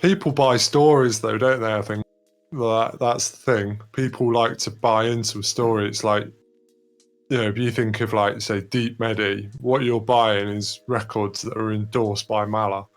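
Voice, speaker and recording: A man's voice: lowish voice, fairly even intonation, moderate pace, faint music behind. A man explaining his view in casual chat. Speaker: talk show participant.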